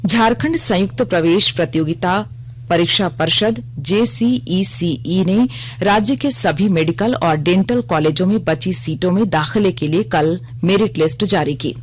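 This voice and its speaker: A woman reading a scripted radio news bulletin in a formal, measured manner. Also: on the low side, a little hiss or hum.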